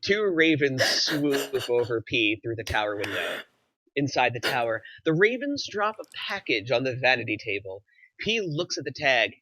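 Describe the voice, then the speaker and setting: A man's voice: mid-range voice, very animated, normal speed, bright, forceful tone, a little hiss or hum, low-quality recording. A man narrating, reading screenplay action lines aloud at a table read.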